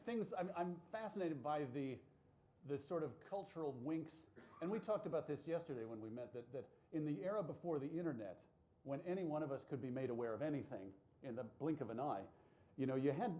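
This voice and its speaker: A male moderator leads a panel conversation, winding into long, chatty questions. His voice is of ordinary middle pitch. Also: dark tone, a little hiss or hum.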